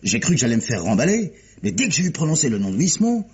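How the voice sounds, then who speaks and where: A man playing a chatty, eager police inspector in a mid-century French radio crime drama, telling his findings in a colloquial, animated way. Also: on the high side, quick pace, exaggerated voice. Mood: excited storytelling. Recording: dull old radio recording, clear hiss.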